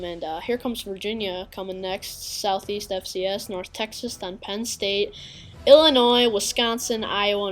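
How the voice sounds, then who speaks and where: A woman giving casual, halting gameplay commentary with a congested, sniffly voice and many filler pauses. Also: medium pitch. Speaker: gaming video creator.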